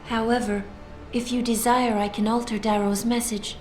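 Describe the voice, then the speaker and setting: A woman voicing an enigmatic artificial-intelligence news anchor, measured, formal and solemn. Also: fairly high pitch, level tone, natural voice. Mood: solemn composure. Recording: echoey, faint music behind.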